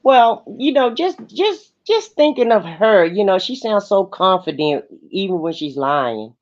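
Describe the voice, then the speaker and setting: A woman's voice: mid-range voice, very animated, unhurried, a little mellow. A woman talking in a colloquial, opinionated way in casual livestream gossip commentary. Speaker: co-host of a livestream. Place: livestream call. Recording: muffled lo-fi livestream audio.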